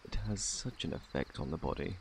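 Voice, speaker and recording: A man with a British accent playing a courteous, scholarly plague doctor in a soft-spoken ASMR roleplay, formal and gently reassuring. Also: brisk, a little hiss or hum. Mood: gentle, attentive. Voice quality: very deep, creaky, hushed. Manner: formal, gentle, reassuring, old-fashioned wording. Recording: faint night insect ambience.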